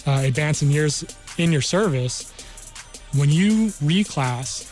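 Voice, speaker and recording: American guy explaining stuff on radio, kinda halting and measured, bit of music in the back. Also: a little high, animated, a little mellow. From New Mexico, United States. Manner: halting, measured, repeats "you know". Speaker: guest, army career counselor. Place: radio studio.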